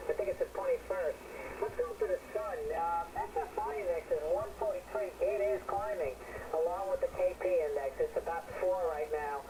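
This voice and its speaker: A man talks over shortwave radio with clear noise behind him. His style is casual and folksy, rambling cheerfully as he gives his check-in report to the other operators. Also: on the high side, big pitch swings, normal speed. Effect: shortwave radio transmission. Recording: noisy thin shortwave radio link.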